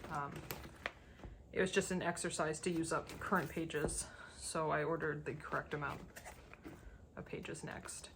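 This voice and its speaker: Low-pitched, slightly gravelly woman, casual show-and-tell. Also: background noise. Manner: rambling, thinking aloud as she sorts. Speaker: collector giving a binder tour.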